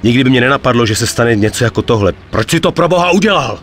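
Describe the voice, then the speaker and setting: A man's voice: medium pitch, expressive, a bit bright, a little hiss or hum, lightly acted. A man playing a terse, grave mafia enforcer with a conscience in a dubbed video game. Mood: reproachful. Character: stern, conflicted male mafia enforcer with a conscience.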